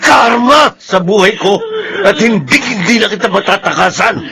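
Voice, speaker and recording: A man's voice: sits high, animated, normal speed, creaky. A man playing a bitter, remorseful, emotional husband and son in a Filipino radio drama, with a Filipino accent. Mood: defiant, anguished. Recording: music behind the voice.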